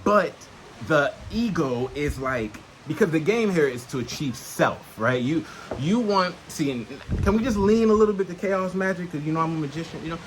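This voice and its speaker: A man's voice: on the high side, lively intonation, moderate pace, slight background noise, poor audio. A man talking casually and unscripted to camera, teaching in streetwise slang with frequent swearing and checking in with the listener. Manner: punctuates points with checking questions to the listener. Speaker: self-help vlogger. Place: outdoors in nature.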